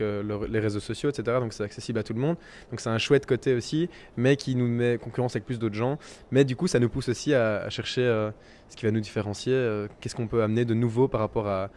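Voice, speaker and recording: Fast-talking young man, festival hum behind. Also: medium pitch, steady tone, darkish tone. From Belgium. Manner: quick, chatty explanations. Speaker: musician. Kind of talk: festival interview.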